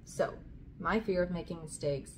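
A woman's voice: on the low side, moderate pace. A woman in her thirties hosting a children's read-aloud, reading storybooks and chatting casually, recorded indoors on a camera at about arm's length. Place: small indoor room with curtain backdrop. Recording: clear background room noise.

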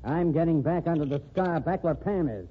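An actor playing a bitter, threatening fired reporter in an old radio drama. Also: male voice, fairly high pitch, lively intonation, normal speed, slightly rough. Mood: menacing. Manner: sneering, threatening. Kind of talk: 1940s radio acting. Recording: tinny lo-fi old radio transcription with faint hiss.

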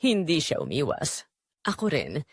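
Middle-aged mother-in-law for audio drama, played by a woman: secretly longing, guilt-ridden, deliberate emotive confession, very lively pitch, dry recording. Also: husky, no echo, heavily acted. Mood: tense, yearning. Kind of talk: dramatic first-person scripted narration.

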